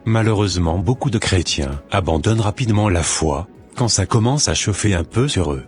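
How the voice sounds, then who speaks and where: Deep-voiced church elder preaching in a cartoon, calm and admonishing, a man voicing him. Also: faint music behind. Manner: slow, sermonizing, gently admonishing.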